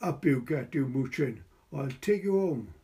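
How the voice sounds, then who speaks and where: A man's voice: medium pitch, lively intonation, unhurried, mellow, lightly acted. A man reading the part of a bossy but fond young housemaid in a storybook. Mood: brisk, scolding.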